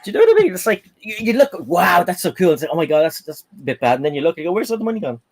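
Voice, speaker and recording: A man with an Irish accent hosting a casual live-stream panel chat, telling animated stories. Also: sits high, rapid-fire. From Ireland. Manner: animated, chatty storyteller.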